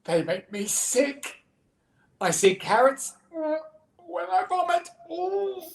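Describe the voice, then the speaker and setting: A woman's voice: sits high, sing-song, takes it slowly, creaky, reverberant, pitched above the performer's own voice, full character voice. A woman voicing a stubborn little girl bunny from a storybook, whiny and pleading, with melodramatic stretched-out words. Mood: desperate pleading.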